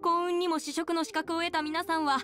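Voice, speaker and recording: A poised young woman hosting a contest, in a high voice. Her announcing is crisp, composed and official, in anime game style with music behind. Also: little pitch movement, fairly quick, a bit bright. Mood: formal, upbeat. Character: poised, brisk young female contest host.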